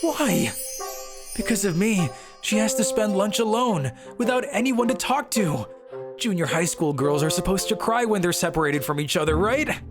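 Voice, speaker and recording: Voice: mid-range voice, expressive, moderate pace, over music, lightly acted. A performer voicing a brooding, cowardly teenage schoolboy who narrates a manga story in a melodramatic, scheming way. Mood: flustered, defensive. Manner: melodramatic, sinister chuckling schemer.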